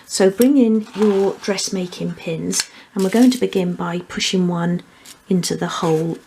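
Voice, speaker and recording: A woman's voice: lowish voice, measured pace, creaky, mellow, a little hiss or hum, poor audio. A woman presenting a hands-on craft tutorial, explaining each step methodically. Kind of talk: hands-on step-by-step instructional demonstration.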